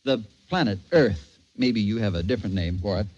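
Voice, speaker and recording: An actor playing a proud, commanding, exasperated rocket captain from Earth in an old-time radio drama. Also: male voice, on the low side, animated, brisk, darkish tone. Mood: earnest, baffled. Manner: commanding, emphatic. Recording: muffled, noisy old radio recording.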